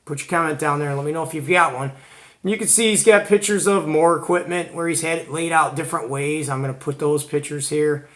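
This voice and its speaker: A man from Georgia speaks in a voice pitched a bit above average. He hosts a show and tell in a casual, conversational style. His wording is informal and full of slang, as if chatting with fellow hobbyists. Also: a bit bright. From Georgia, United States. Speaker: host of a CB radio hobby show.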